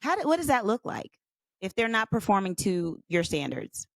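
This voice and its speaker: A woman's voice: medium pitch, big pitch swings, moderate pace, vocal fry, no echo. A woman in her fifties hosting an interview, asking questions in an affirming, encouraging manner. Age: 50s. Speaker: podcast host. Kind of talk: interview conversation.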